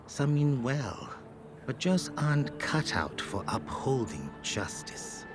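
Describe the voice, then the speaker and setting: A man playing a thoughtful, wary counsellor in a video game cutscene, speaking in a measured, grave manner. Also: medium pitch, big pitch swings, noisy background. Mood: cautioning, reflective. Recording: background music under the voice.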